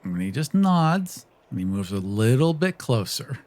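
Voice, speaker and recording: A man's voice: medium pitch, big pitch swings, unhurried, a little mellow. A man hosting a podcast, casually narrating as a tabletop roleplaying player.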